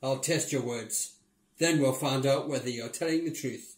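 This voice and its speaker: A man's voice: mid-range voice, normal speed, close to an everyday voice. A stern male governor, acted by a man, interrogating suspects in a commanding, accusing manner. It is a storybook drama reading with a roomy echo.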